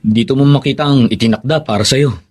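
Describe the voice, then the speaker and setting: A man's voice: medium pitch, fast talker, a little mellow, lightly acted. An actor playing a friendly, teasing older male cousin in a Filipino radio drama.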